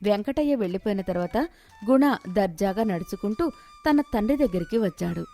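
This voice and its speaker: Female storyteller, mid-range voice, scripted moral-tale narration, expressive, music bed underneath. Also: medium pitch, brisk.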